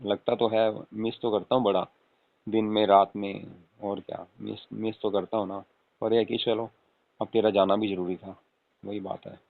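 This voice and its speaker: A man's voice: on the low side, flat delivery, normal speed, creaky. A man playing an affectionate young husband on a casual late-night call, coaxing and often asking for agreement. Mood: tender, affectionate. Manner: coaxing, repeatedly seeking agreement with tag questions. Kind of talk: acted casual phone conversation with spouse. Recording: tinny phone-call audio with room echo.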